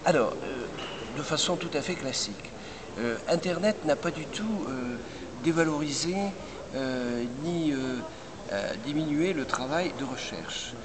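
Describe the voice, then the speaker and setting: Older man, business recruiter type, measured and halting, heavy background noise. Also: on the high side, expressive. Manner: measured, many hesitations and restarts. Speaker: recruitment firm head. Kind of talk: interview answers.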